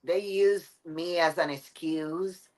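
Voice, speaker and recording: A middle-aged woman from Puerto Rico with a Puerto Rican accent, a Spanish speaker, chatting as a guest in an interview with earnest, halting phrasing. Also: fairly low pitch, steady tone, darkish tone. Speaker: guest athlete. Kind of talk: casual interview chat.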